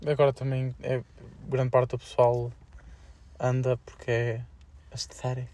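A young man with a European Portuguese accent in casual podcast chat, speaking in slangy, halting phrases. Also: mid-range voice, completely flat delivery, dark tone. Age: young adult. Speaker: podcast co-host.

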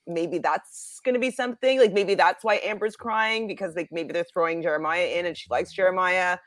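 A woman's voice: on the low side, fairly quick, a bit bright, dry recording. A woman chatting casually and giving her informal opinions as a panelist on a recap show. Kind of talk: casual chat.

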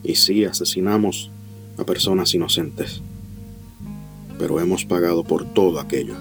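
Casting: deep-voiced ex-soldier husband, protective and remorseful, light guitar music behind. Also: male voice, moderate pace, vocal fry. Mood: soothing, remorseful. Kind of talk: audio drama acting.

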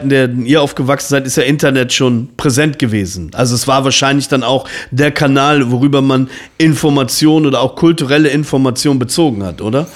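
A man in his forties hosts a relaxed interview podcast. He wanders through long questions, stopping and restarting with plenty of fillers, at a middling pitch. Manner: rambling, many fillers and restarts. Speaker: podcast host. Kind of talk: casual interview chat.

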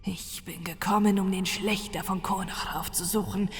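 A woman reading an audiobook, voicing a mocking male being from another dimension in a slow, breathy, unvoiced whisper. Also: mid-range voice, fairly even intonation, faint music behind. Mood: scornful whisper. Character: eerie whispering otherworldly male being, scornful.

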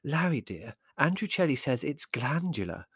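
A man voicing a gentle, fretful middle-aged mother in a storybook reading, anxious and placating but firm. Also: medium pitch, very animated, moderate pace, breathy edge, no room sound, clean studio sound, voice pushed up.

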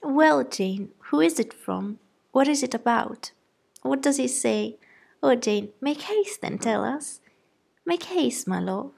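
A woman voicing an excitable, fussy middle-aged mother who gushes eagerly in a storybook reading. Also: unhurried, gravelly, breathy edge, exaggerated voice. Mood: gushing. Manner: shrill, gushing, eager.

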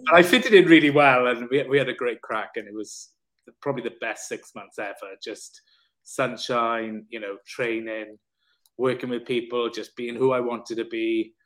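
A man from South Wales tells his story as a guest in a relaxed talk. He is animated and sweary, with a mid-pitched voice that swings up when he gets going. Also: moderate pace. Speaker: guest, personal trainer and coach. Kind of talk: candid storytelling in conversation.

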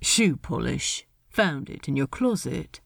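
A woman voicing a gruff, sullen male pirate captain in a storybook reading, terse and casual. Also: rumbling low, big pitch swings, slow, no echo, studio quality, pitched below the performer's own voice, heavily acted. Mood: laconic. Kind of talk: character voice in a fan fiction reading.

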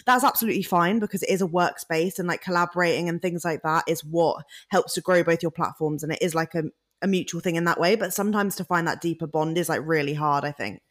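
A woman's voice: fairly low pitch, fast. A woman hosting a podcast, bubbly and effusive as she chats with guests. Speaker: podcast host. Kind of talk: casual chat.

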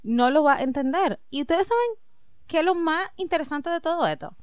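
A woman's voice: very high-pitched, lively intonation, fairly quick, no room sound. Female co-host, easygoing and talkative, close dry sound. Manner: chatty, addresses listeners directly, laughs easily. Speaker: podcast co-host. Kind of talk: casual chat and reading her own writing aloud.

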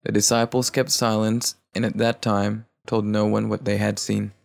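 A man's voice: on the low side, level tone, normal speed, vocal fry. A man reading a gospel passage aloud as a volunteer reader.

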